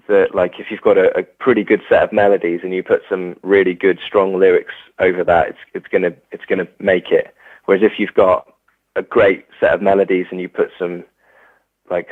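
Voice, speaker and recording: A man's voice: sits low, no room sound. A young English man, a musician, talking hesitantly in an interview with many fillers and restarts. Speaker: musician guest. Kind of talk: casual interview. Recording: tinny phone-like sound.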